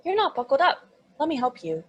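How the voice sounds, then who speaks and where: Woman playing a high-voiced, childlike naive demon. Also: very animated, brisk, lo-fi sound. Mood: eager, excited. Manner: innocent, earnest, sweetly matter-of-fact. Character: childlike, nervous, naive, eager demon from hell. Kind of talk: comedy script table read.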